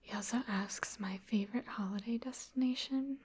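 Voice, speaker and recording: A woman from Wisconsin whispers her way through viewer questions in a soft, unvoiced ASMR hush. Her tone is mellow and very breathy, and she chats in an easy, self-deprecating way. Nothing in her voice is pushed or sharp. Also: fairly high pitch, moderate pace. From Wisconsin, USA. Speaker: ASMR creator.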